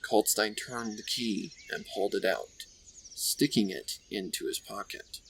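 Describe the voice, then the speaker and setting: Male narrator, lowish pitch, forceful bright tone, scripted storytelling, faint background noise. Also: a little slow, rough recording.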